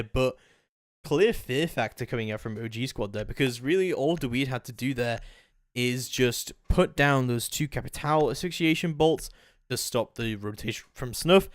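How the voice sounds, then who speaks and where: A man's voice: mid-range voice, no echo, clean studio sound. Man casting a live esports match. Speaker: play-by-play esports commentator. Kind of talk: live video game match commentary.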